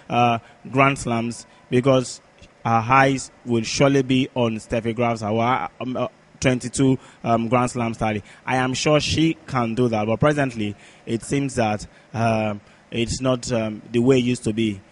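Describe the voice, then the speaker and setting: A man giving conversational sports analysis as a podcast pundit, halting and repetitive as he searches for words. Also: mid-range voice.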